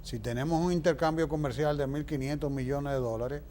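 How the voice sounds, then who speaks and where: A man's voice: a little high, steady tone, brisk, mellow. Emphatic Dominican man, faint background noise. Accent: Dominican Spanish. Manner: emphatic, explanatory, uses rhetorical questions. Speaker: interview guest. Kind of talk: opinionated political interview talk.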